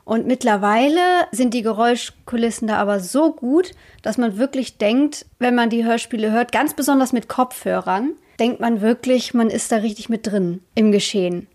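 A woman's voice: fairly high pitch, normal speed, few pauses, a little mellow. A woman giving a casual interview as a guest, speaking enthusiastically while feeling her way through her phrases.

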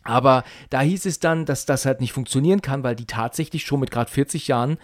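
A man's voice: medium pitch, brisk, no room sound, studio quality. A man interviewing in a casual, chatty way, rambling and often repeating phrases as he circles back. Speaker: podcast interviewer.

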